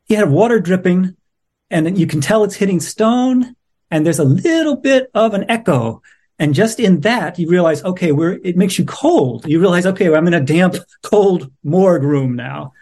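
A man's voice: high voice, animated, normal speed, a little mellow. A man, a playwright, talking casually and reflectively as an interview guest.

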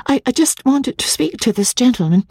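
Lady doing a shaky young bride, whispery and high, keeps stammering, close dry sound. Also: animated, fairly quick, darkish tone, breathy edge, dry recording, full character voice. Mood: anxious, pleading.

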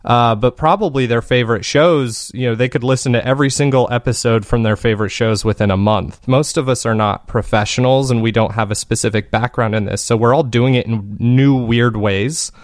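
A man with a medium-pitched voice talks as a guest in an interview. He explains things in an earnest, reflective way, conversational and unscripted. The sound is dry, with very little room in it. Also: fairly quick, few pauses, no echo. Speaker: guest, fiction podcast creator.

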